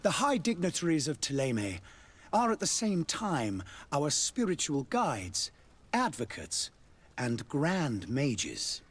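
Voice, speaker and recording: A suave religious ambassador in a fantasy video game. His voice sits fairly high for a man and swings widely in melody, with a husky grain. He is courtly, florid and pompous, laying on the diplomacy with unctuous care. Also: wildly swooping pitch, slow, full character voice. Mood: courtly, flattering. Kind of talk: video game dialogue acting.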